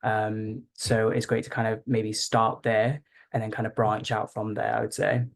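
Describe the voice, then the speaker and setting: Gravelly flat-voiced young man. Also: fairly low pitch, normal speed. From Wales. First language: Welsh. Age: young adult. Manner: casual, many fillers. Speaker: student ambassador. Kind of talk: webinar panel answer.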